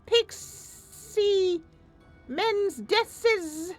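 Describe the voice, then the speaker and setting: A woman's voice: very high-pitched, lively intonation, extremely slow, faint music behind, voice pushed up, heavily acted. A woman voicing a withered, hostile kobold shaman in a fantasy game, hissing broken war-cry speech. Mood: menacing.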